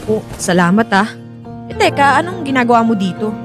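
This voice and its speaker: High-voiced, timid young schoolteacher. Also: female voice, very animated, brisk, over music. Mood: friendly, shy. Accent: Filipino. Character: timid, polite young female schoolteacher, easily frightened. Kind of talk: Filipino radio drama acting.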